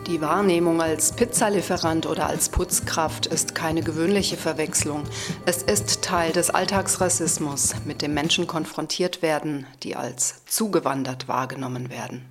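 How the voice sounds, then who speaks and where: Low-voiced female narrator over music. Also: normal speed. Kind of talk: scripted documentary narration.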